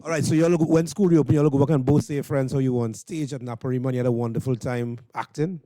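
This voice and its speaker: A man's voice: medium pitch, steady tone, fast, mellow, no echo. A man with a Trinidadian accent hosting informal interviews with performers at an event. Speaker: interviewer and host.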